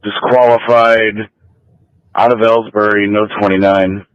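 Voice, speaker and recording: A man's voice: fairly low pitch, little pitch movement, unhurried, clean tone, dry recording, lo-fi sound. A male police dispatcher answering over scanner radio in clipped, terse codes.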